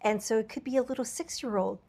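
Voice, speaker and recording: A woman hosting a podcast, her voice a little raspy from travel, talking in an earnest, reflective way with frequent fillers and restarts. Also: a little high, fast talker, darkish tone. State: slightly raspy voice from travel fatigue. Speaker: podcast host. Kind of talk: candid podcast conversation.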